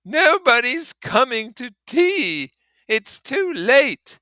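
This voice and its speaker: A man reading a storybook part as an anxious, lovesick rich gentleman, nervous and fretful. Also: extremely high pitch, sing-song, slow pace, no echo, voice pushed up, full character voice. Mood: miserable, petulant.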